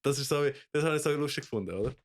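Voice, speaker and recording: A man's voice: mid-range voice, lively intonation, racing. A young Swiss German man in his twenties chats casually on a podcast. He stalls with fillers and restarts, laughing along, in a mid-range voice. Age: 20s. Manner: hesitant, many fillers and restarts, laughs often. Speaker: podcast co-host. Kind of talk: casual chat.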